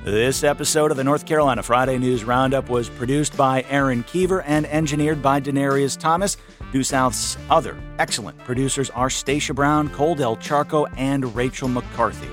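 A man hosting a public radio news roundup from a studio, relaxed with joking asides. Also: mid-range voice, moderate pace, faint music behind. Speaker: radio show host. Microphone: studio microphone.

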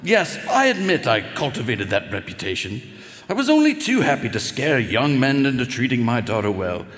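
Blustery father with wildly swinging pitch. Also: very animated, normal speed. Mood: blustering, confessional. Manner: loud, pompous, indignant. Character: blustering, fiercely protective father, a doctor, middle-aged or older. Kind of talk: live radio mystery drama acting. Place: live performance hall. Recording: reverberant stage in a large hall.

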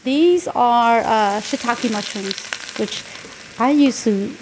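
A fairly high-voiced woman in her forties talks easily while cooking at home, stopping to explain each ingredient and laughing often. Faint kitchen clatter sits softly behind her. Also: slow, background noise. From United States. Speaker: guest cooking in a recorded segment. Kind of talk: casual chat while cooking.

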